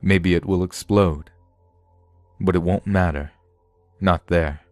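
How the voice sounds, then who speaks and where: A man voicing a cold, matter-of-fact security officer in a science fiction story, deliberate and unmoved. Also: deep voice, steady tone, darkish tone, dry recording, soft background music. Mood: cold, grave. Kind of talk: dramatised science fiction audiobook.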